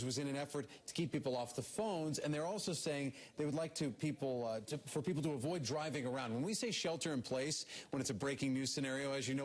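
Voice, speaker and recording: A male TV news anchor speaks very quickly at a middle pitch. He delivers breaking news live from the studio, partly scripted and partly off the cuff.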